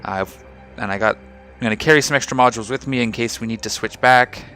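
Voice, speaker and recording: A man hosting a casual game video, talking through his ship setup with a slightly rough, hoarse voice. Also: medium pitch, fast talker, soft background music. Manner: relaxed, rambling, explains ship fittings. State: slightly rough voice after a night of singing. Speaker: gaming channel host. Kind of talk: casual gameplay commentary.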